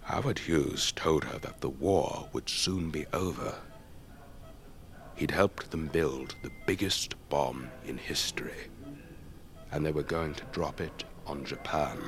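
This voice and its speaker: An actor playing an elderly English retired air force officer, urbane and measured, telling tales in a radio drama. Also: male voice, extremely low pitch, heavily acted. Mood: wry, storytelling. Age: older. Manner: measured, old-fashioned gentlemanly, theatrical raconteur.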